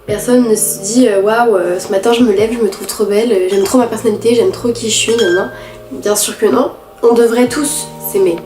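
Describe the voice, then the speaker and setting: A teenage girl with a head cold, talking nasally through a candid, halting confessional vlog. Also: mid-range voice, steady tone, darkish tone, reverberant, faint music behind. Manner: halting, candid, many restarts and fillers. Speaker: vlogger.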